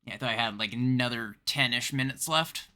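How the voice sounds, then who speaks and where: A man's voice: medium pitch, brisk, pushed and bright. A man from Canada chatting casually as he livestreams a game.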